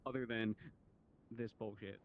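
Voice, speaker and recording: A man's voice: on the low side, steady tone, brisk. Male gamer, plain and blunt, muffled headset audio with a light noise bed. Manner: matter-of-fact, cursing casually. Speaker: player in voice chat. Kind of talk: casual gaming chat. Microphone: VR headset mic.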